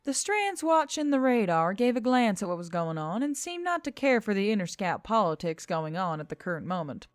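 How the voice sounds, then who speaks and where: A woman reading scripted fiction as an expressive story narrator. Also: mid-range voice, fairly quick, few pauses, dry recording, clean studio sound. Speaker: audiobook narrator.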